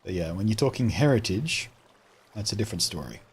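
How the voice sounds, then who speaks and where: A man's voice: fairly low pitch, lively intonation, brisk, breathy edge. A man with an Australian accent streaming a game from home, chatting casually and answering viewers' comments as he plays. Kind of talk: casual live-stream chat while playing.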